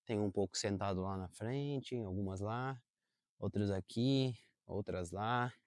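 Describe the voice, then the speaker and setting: A man's voice: fairly low pitch, unhurried, darkish tone, dry recording. Man, young adult, casual vlog chat, lots of fillers, outdoors, dry close sound. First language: Portuguese. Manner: chatty, self-correcting, lots of fillers. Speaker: vlogger. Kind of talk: casual vlog talk to camera. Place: outdoors in a park.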